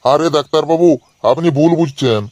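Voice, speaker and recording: A man's voice: a little high, a little fast, darkish tone, no room sound, lightly acted. A man voicing an anxious, dim-witted patient in a comedy cartoon, pleading and repeating himself in a plaintive way. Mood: anxious, complaining. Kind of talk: cartoon comedy skit dialogue.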